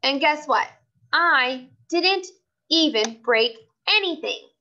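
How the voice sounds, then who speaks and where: Animated, high-voiced woman reading a children's story. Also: takes it slowly, muffled recording. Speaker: read-aloud storyteller.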